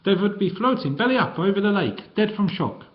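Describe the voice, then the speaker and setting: A man's voice: sits high, expressive, brisk, dull audio, pitched above the performer's own voice. A man voicing a huge, jolly old female ex-wrestler with a guttural voice. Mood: hearty.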